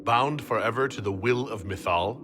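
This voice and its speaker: An actor playing a cautious, commanding hero in a fantasy game, with a measured, serious, questioning delivery. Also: male voice, fairly low pitch, background noise, close to an everyday voice. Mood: wary. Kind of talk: dramatic fantasy game acting.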